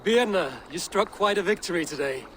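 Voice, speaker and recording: An actor playing a loyal, stoic Viking warrior in a video game, speaking in a grave, measured way. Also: male voice, high voice, lively intonation, background noise. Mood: warm. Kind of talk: dramatic video game acting. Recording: loud music behind the voice.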